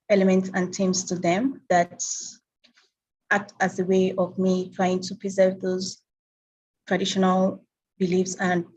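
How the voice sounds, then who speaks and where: A young Nigerian woman whose first language is Hausa, giving a measured talk about her work at an online symposium over a video call. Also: mid-range voice, a little mellow, slight background noise, low-quality recording. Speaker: presenting artist.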